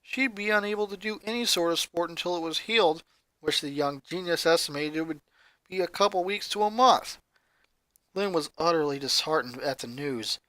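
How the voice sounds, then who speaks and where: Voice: mid-range voice, normal speed, a bit bright, no echo. A reader giving a scripted narration of a story, putting on voices for the characters. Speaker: fan fiction reader.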